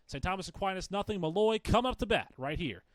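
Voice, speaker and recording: A man's voice: sits high, animated, quick pace, dry recording. Male baseball play-by-play commentator, dry sound. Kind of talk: live sports commentary.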